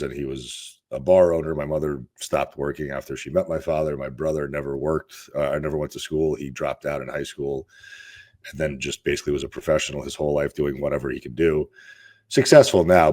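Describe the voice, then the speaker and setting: A man's voice: rumbling low, darkish tone. A man in his thirties from Russia, a fitness coach telling candid, rambling stories as a podcast guest. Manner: candid, rambling storyteller with restarts. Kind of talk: casual interview talk.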